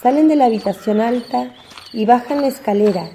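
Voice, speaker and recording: Very mellow, high-pitched woman narrator. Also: fairly quick, slight background noise. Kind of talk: scripted dramatic narration of religious story.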